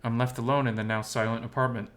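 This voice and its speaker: A man with a mid-pitched voice reads a visual novel aloud as its narrator. His delivery is expressive, scripted first-person storytelling. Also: quick pace.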